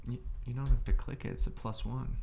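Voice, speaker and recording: A man with a medium-pitched voice talks casually at a tabletop game. He is a player with a wry, joking way of putting things. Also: steady tone, a little fast, gravelly, warm tone.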